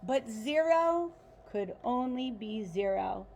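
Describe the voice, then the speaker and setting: A woman reads a children's storybook aloud in a slightly high voice. She is expressive and takes her time, lingering over phrases like a teacher with a class. There is some creak in her tone and faint noise behind her. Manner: animated, deliberate, pauses to ask listeners questions. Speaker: teacher reading a picture book aloud to children.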